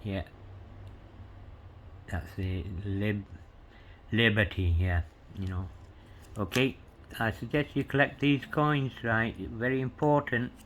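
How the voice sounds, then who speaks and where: A man's voice: on the low side, fairly even intonation, slow pace, long pauses, fairly bright tone, slight background noise, rough recording. A man rambling through a coin show-and-tell, peppering his talk with "you know" and spelling out inscriptions letter by letter. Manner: meandering, full of "you know" and "yeah", reads inscriptions letter by letter. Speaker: coin collector showing coins.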